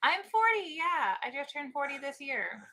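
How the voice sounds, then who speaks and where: An American woman of forty co-hosts a livestream, chatting and reading comments from viewers. Her voice sits a little high and sounds bright and forceful. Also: very animated, normal speed. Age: 40s. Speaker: co-host of a livestream watch party. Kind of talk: casual livestream chat.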